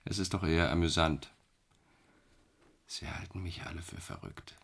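An actor playing a detached middle-aged man confined in an asylum, calm, matter-of-fact and quietly ironic in a radio drama. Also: low-pitched, normal speed, gravelly, slight background noise, heavily acted. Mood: detached, wry.

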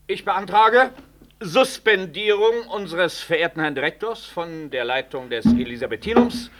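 A scheming male professor in a sixties radio play. His voice is fairly high and piercingly bright. He speaks in formal, procedural language like an officious chairman. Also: expressive, normal speed. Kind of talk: 1960s German radio play acting.

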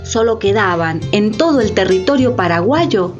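A woman's voice: medium pitch, expressive, normal speed, dull audio. A woman narrating and announcing in a scripted radio theatre style. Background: light music.

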